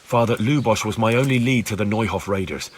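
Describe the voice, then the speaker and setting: Man playing a low-voiced, earnest young medieval villager. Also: fairly quick, a little hiss or hum, natural voice. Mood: insistent. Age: young adult. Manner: plain-spoken, earnest, insistent. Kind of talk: video game cutscene acting.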